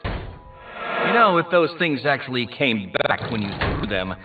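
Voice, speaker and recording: An actor playing a smug, boastful mastermind villain in a video game, with pompous, theatrical, mocking taunts. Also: male voice, mid-range voice, sing-song, measured pace. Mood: petulant, mocking. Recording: tinny narrow-band audio, distant, music behind. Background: music.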